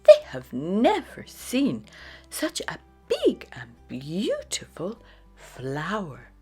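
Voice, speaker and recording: A woman narrating a children's storybook in a dramatic, expressive read-aloud style. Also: lowish voice, extremely slow, slightly rough, soft background music. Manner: dramatic, with hushed phrases and sudden exclamations.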